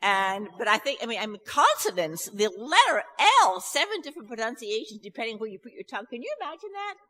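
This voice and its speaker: A nervous, chatty woman with a Scottish accent talks to an audience before her song. Her voice is bright and forward. The hall gives it a long, ringing reverb. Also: on the high side, sing-song, fast. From Scotland. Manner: nervous, chatty and self-deprecating, with laughs and restarts. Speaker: festival singer introducing a song. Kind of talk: chatty stage talk to an audience. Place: church hall. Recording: live recording in a reverberant hall.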